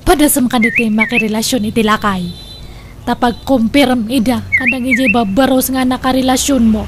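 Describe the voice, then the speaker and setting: Woman acting a high-pitched, pushy Ilocano older sister. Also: normal speed, close to an everyday voice. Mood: coaxing, insistent. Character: pushy, teasing older sister urging younger sister toward old suitor. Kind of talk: Ilocano comedy radio drama acting. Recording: noisy recording.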